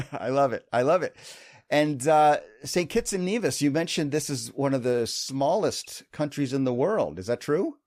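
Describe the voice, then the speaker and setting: A man's voice: mid-range voice, lively intonation, unhurried, darkish tone, no room sound, studio quality. A man hosting a podcast interview, upbeat and chatty, laughing easily. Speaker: podcast host.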